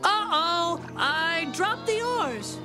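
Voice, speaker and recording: Scheming little cartoon boy, super high, drawn-out hammy lines over loud music. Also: lively intonation, exaggerated voice. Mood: flustered. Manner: grandiose, hammy. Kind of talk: cartoon voice acting.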